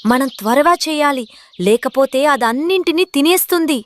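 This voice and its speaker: A woman's voice: high-pitched, a little fast, slight background noise, natural voice. A woman voicing a bossy female crow, impatient and urging, in a cartoon story. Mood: urgent. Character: urgent, bossy female crow, egg-thieving accomplice.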